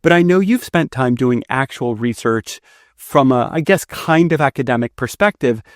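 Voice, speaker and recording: A man's voice: mid-range voice, lively intonation, moderate pace, no room sound, studio quality. A man who is a native Finnish speaker, hosting a conversational podcast interview. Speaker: podcast host interviewing a guest.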